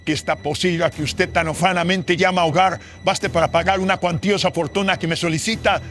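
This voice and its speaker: A man's voice: sits high, steady tone, a little fast, fairly bright tone, soft background music, voice pushed up. A man voicing a haughty, lecherous miserly moneylender, sneering and condescending in formal, old-fashioned horror radio drama style. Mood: scornful.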